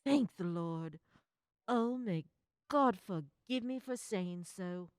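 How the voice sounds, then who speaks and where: A woman's voice: mid-range voice, lively intonation, slow pace, clear, resonant tone, no room sound, clean studio sound. A woman playing an anxious, tender young wife in a radio-style drama. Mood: guilty relief.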